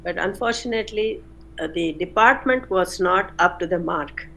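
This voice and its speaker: Older woman, gravelly, unhurried, pauses and self-corrections. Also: lowish voice, a bit bright, low-quality recording. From India. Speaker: guest, retired chemistry professor. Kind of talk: reflective interview answers.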